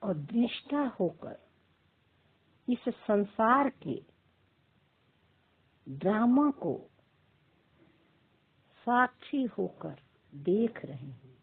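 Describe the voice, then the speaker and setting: Very slow, husky woman guiding meditation. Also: medium pitch, big pitch swings. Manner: slow, deliberate phrases with long pauses. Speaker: meditation guide. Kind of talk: guided meditation. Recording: muffled narrow phone-like audio.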